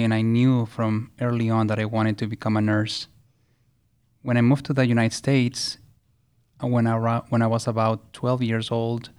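Guy from chile talking about his career on a podcast, even flat delivery, clean smooth voice. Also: medium pitch. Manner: measured, earnest storytelling with some restarts. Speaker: guest, nursing regulator. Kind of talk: podcast interview.

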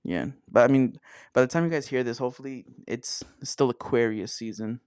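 A young man hosts a loose podcast chat, cursing casually and cracking jokes between laughs. His voice sits at an ordinary middle pitch. It is recorded dry and close, with no room sound. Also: fairly quick, a little mellow, clear, resonant tone, no room sound. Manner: profane, joking, laughs often. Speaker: podcast host.

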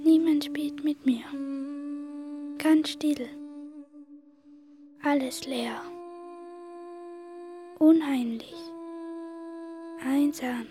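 A woman's voice: high voice, fairly even intonation, drawn-out words, long pauses. A woman delivering a scripted monologue in short, separate phrases. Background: music.

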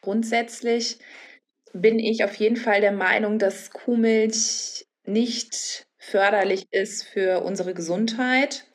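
Mid-pitched woman explaining as an expert. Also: fairly even intonation, slow. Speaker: nutrition adviser being interviewed. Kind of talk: explanatory interview talk.

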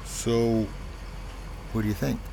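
A man playing a hesitant, apologetic landowner in an audio drama, talking in a halting, low-key, indirect way. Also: little pitch movement, creaky, background noise. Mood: defensive, weary. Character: hesitant, apologetic male landowner asking for money. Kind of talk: naturalistic audio drama dialogue.

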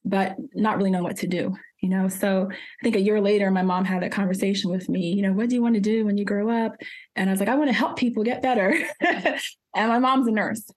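A chatty woman pharmacist, born in Jamaica and raised in New Jersey, tells her story as a podcast guest. She talks freely, laughs often and fills her pauses with "um" and "you know.". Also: medium pitch, steady tone, darkish tone. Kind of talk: casual interview storytelling.

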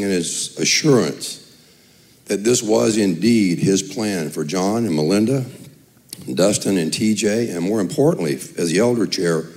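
A man with a raspy, very low voice speaks earnestly to a church congregation as its elder chairman. His tone is dark and mellow, and he talks from the heart. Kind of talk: church address. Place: church sanctuary.

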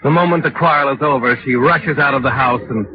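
Male narrator, played by an actor, vintage radio thriller: insinuating, deliberate, mid-pitched, over prominent music. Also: muffled recording, close to an everyday voice. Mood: knowing, taunting. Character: ominous, knowing, taunting male storyteller addressing the culprit. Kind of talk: 1940s radio suspense narration in second person.

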